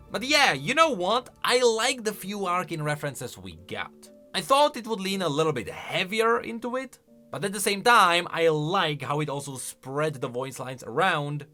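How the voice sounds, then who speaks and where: A man giving casual reaction commentary on video game lore, expressive and emphatic as he thinks aloud. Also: fairly high pitch. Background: music.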